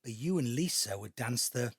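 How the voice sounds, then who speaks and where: A man's voice: mid-range voice, expressive, normal speed, gravelly, breathy edge. A man playing an angry, insistent adult son confronting his family in an emotional audio drama. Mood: brooding, hurt. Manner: confrontational, insistent, repeats demands.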